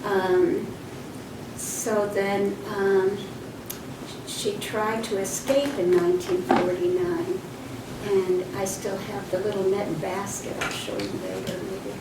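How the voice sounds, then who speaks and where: A woman's voice: medium pitch, big pitch swings. Older woman recalling childhood, echoey and distant. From Poland. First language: Polish. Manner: halting, reflective storytelling with frequent pauses. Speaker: interviewee. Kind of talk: oral history recollection. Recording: echoey room, distant mic, background noise.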